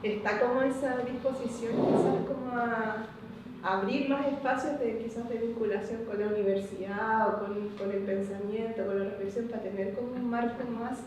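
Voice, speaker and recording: A Chilean woman with a slightly high voice speaks informally from the audience of a discussion. She is tentative and rephrases as she goes. The recording is very echoey, distant and clearly noisy. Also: fairly even intonation, normal speed, a little mellow. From Santiago, Chile. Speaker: audience participant, former municipal worker. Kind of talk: informal comment and question at a public discussion.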